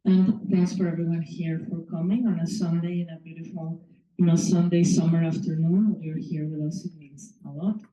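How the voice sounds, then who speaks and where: A woman's voice: fairly low pitch, moderate pace. A woman from Mexico whose first language is Spanish, reading one of her short stories to an audience after a hesitant introduction. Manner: hesitant with many filler words, then steady reading. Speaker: author reading her story. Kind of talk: reading a short story aloud. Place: bookstore or small venue reading. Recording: distant mic, echoey room, dull sound.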